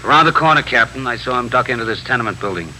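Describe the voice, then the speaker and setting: Sharp-voiced, dutiful patrolman. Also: mid-range voice, expressive, very fast. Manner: clipped, respectful, factual. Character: dutiful, plain-spoken police patrolman reporting to superior. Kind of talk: 1950s radio drama acting. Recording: noisy 1950s radio broadcast recording with hum.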